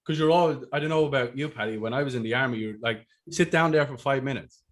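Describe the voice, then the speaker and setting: Picture an Irish man in his thirties on a video call, speaking into a desk mic at home. He tells his story bluntly and with lots of swearing, in a voice of average pitch for a man. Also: quick pace. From Ireland. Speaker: podcast host. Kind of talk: casual storytelling chat. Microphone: desk microphone on a video call.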